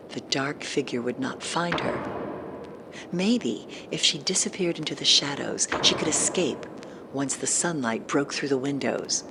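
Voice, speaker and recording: Suspenseful horror narrator over loud music. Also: medium pitch. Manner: measured, suspenseful storytelling. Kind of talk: scripted horror story narration.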